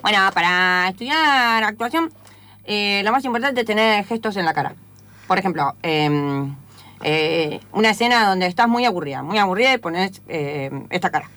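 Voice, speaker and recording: A woman playing a pretentious, absurd male Argentine acting teacher who speaks haltingly in an improvised comic radio play. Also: medium pitch, lively intonation, a bit bright, close to an everyday voice. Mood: pompous, halting. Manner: halting, self-important.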